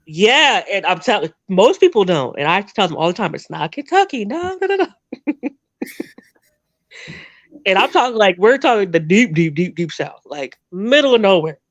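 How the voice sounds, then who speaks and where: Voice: mid-range voice, sing-song, normal speed, no echo, highs cut off. Rambly chatty person from jersey, half northern half southern accent, dry close sound. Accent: half Northern, half Southern American. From New Jersey. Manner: rambling, chatty, laughs often. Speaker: interview guest. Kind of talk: casual storytelling chat.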